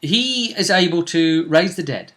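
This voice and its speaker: A man with a fairly high, very bright and forceful voice. He talks as a game master retelling a tabletop adventure, casual but story-driven, often nudging his listener to agree. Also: animated, measured pace. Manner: storytelling, seeks agreement with tag questions. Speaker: game master and show host. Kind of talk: casual recap of a tabletop role-playing session.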